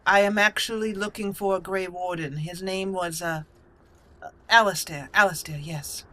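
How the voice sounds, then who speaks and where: Bright-voiced, guarded young mage recruit voiced by a woman. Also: on the low side. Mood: formal, polite. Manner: formal, deliberate. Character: guarded, formal young female mage recruit, loyal to house. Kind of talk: in-character game roleplay.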